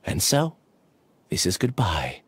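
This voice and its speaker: Solemn, resigned young scholar. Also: male voice, sits low, huge pitch swings, a little hiss or hum, heavily acted. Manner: solemn, deliberate. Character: earnest, resigned young male scholar, quietly melancholy. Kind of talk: video game voice acting.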